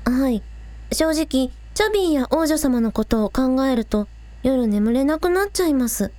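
A woman's voice: sits high, lively intonation, normal speed, mellow, dry recording. A woman playing an earnest, anxious teenage village idol girl in an audio drama. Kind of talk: Japanese audio drama acting.

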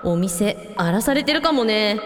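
A woman playing expressive, casual-talking members of a village family in a Japanese radio drama. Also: mid-range voice, unhurried, lightly acted. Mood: indignant. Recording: long reverb with music behind.

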